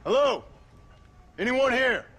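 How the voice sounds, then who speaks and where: A man's voice: very high-pitched, sing-song, takes it slowly, fairly bright tone, breathy edge, exaggerated voice. A man voicing a frontier gunslinger who speaks with a dry, wry drawl. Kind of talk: video game cutscene acting.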